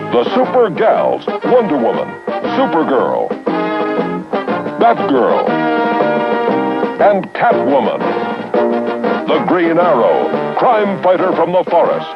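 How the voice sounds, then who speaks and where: A man's voice: fairly high pitch, wildly swooping pitch, takes it slowly, creaky, pauses often. A man doing a dramatic, punchy voice-over for a 1970s toy commercial. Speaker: commercial announcer. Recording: dull vintage TV ad, loud music. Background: loud brassy music.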